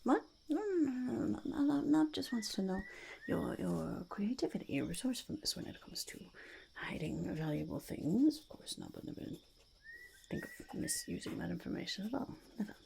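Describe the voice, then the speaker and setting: A woman voicing an eccentric, self-important goblin conducting a whispered ASMR interview, halting and fussy, with a creaky, gravelly voice. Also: a little high, huge pitch swings, darkish tone, a little hiss or hum, full character voice. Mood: fussy, defensive. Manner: halting, fussy, self-repeating, refers to self in third person. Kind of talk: ASMR roleplay, whispered and soft-spoken interview.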